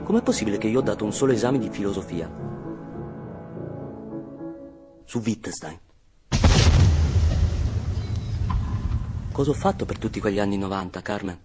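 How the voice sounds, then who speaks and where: An actor playing an anxious, stubborn young Iranian-Italian philosophy student in a radio play, earnest and argumentative. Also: male voice, fairly low pitch, racing, natural voice. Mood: bitter, brooding. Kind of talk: radio theatre monologue and dialogue. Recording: loud music behind the voice. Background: music.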